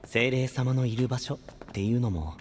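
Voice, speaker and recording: Man playing a calm protector, echoing. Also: mid-range voice, moderate pace, a little hiss or hum, natural voice. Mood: protective. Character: calm, caring young man, gently protective leader. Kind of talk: Japanese anime-style audio drama acting.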